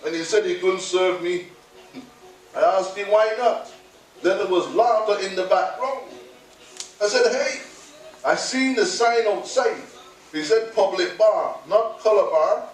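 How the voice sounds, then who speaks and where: A man's voice: high-pitched, slow pace, pauses often, very echoey, far from the mic, soft background music, low-quality recording. An actor playing a man recalling his arrival in 1950s London, telling it theatrically with laughing asides. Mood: indignant. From Barbados. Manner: storytelling, animated, laughing asides. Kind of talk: theatrical monologue.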